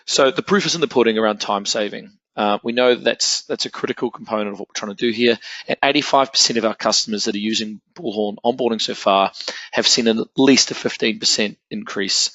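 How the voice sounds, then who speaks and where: Low-voiced man co-hosting a product webinar. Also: fairly quick, a bit bright, dry recording, dull audio. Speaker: webinar co-host. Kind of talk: promotional webinar presentation.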